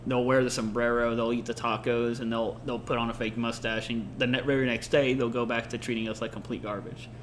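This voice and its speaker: A man's voice: mid-range voice, little pitch movement, a little fast, hardly any pauses, fairly bright tone. Earnest man hosting a chat podcast. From Mexico. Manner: earnest, thoughtful, many filler words. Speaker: podcast co-host. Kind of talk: casual unscripted conversation. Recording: faint background noise.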